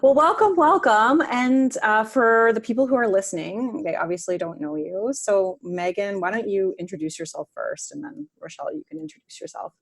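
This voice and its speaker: A woman's voice: mid-range voice, animated, normal speed, gravelly, few pauses. A woman hosting a podcast, chatting casually with her guests on a video call and often filling her talk with "like.". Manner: warm-hearted questions, many "like" fillers. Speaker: podcast host. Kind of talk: casual interview conversation.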